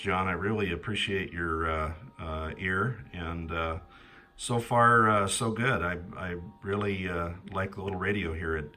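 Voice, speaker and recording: A middle-aged American man with a very deep, bright and forceful voice. He talks casually over ham radio as an operator, in a halting way with many pauses.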